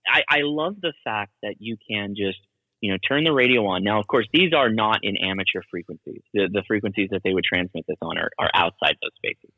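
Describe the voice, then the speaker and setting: A man's voice: fairly low pitch, a little fast, dry recording, in a large hall, phone-quality sound. A man talking as a podcast guest and radio hobbyist, explaining things in a casual, chatty way.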